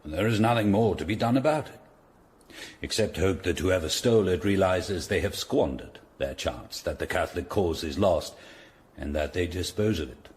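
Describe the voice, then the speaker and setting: A deep-voiced, shrewd English lord. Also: male voice, expressive, darkish tone, husky. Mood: grave, resigned. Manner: authoritative, deliberate, aristocratic. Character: older male Tudor lord, shrewd, commanding courtier. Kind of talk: radio drama acting.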